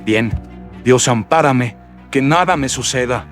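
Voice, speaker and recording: A man's voice: mid-range voice, unhurried, pitched above the performer's own voice, natural voice. A man voicing a frightened but brave young man in a horror radio drama, talking to himself melodramatically. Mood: anxious, resolute. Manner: melodramatic, exclamatory self-talk. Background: loud atmospheric music.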